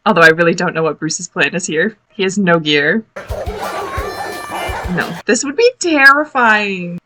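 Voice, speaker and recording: A woman giving casual, expressive live reaction commentary on a cartoon as a video host, full of exclamations. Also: fairly low pitch, unhurried, slight background noise. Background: cartoon soundtrack playing.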